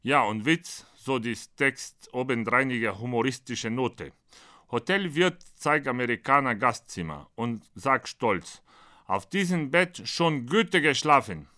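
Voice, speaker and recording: Man acting a naive Brazilian, choppy broken German, deadpan, blunt, sharp bright voice, comic monologue. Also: medium pitch, measured pace. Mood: storytelling, indignant. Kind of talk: comic monologue read from his own book.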